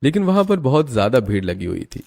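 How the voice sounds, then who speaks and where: A man narrates a scripted family story for a cartoon. His voice is mellow and relaxed, at an average male pitch. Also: quick pace, vocal fry.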